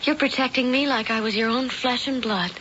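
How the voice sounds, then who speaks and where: A woman's voice: fairly high pitch, a little fast, fairly bright tone, close to an everyday voice. Woman voicing a sweet trusting young woman, chatty, old radio sound, hissy. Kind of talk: 1970s radio drama acting. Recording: dull old radio broadcast.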